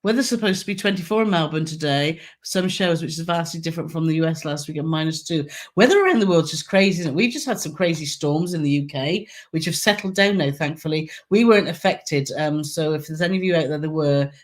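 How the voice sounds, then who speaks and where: Croaky woman hosting a live q&a, chatty and giggly, reading out comments. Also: deep voice, fast, hardly any pauses. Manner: chatty, giggly, reads viewer comments aloud. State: hoarse, croaky throat. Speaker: co-host of a travel channel live stream. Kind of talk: casual live Q&A chat.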